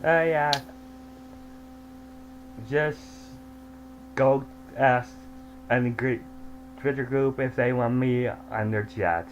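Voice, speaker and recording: A man of middle pitch speaks slowly and haltingly, restarting words as he explains. A faint electrical hum sits under his voice on the home recording. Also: dull audio. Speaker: host. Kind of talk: casual online chat. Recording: faint mains hum behind.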